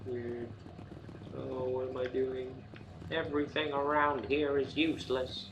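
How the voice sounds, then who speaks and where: A man's voice: medium pitch, flat delivery, a little slow, far from the mic, noisy background. Flippant man joking over a video game. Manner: loud, flippant interjections. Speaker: let's player. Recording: off-mic voice over game music.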